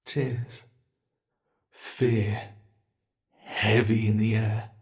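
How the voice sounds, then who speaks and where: A predatory monster, acted by a man, toys with human prey in an intimate ASMR roleplay. The voice is unhurried and crackles with gravelly fry, playfully sinister and savoring each pause. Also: on the low side, flat delivery. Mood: eager, predatory. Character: teasing predatory male monster who hunts humans.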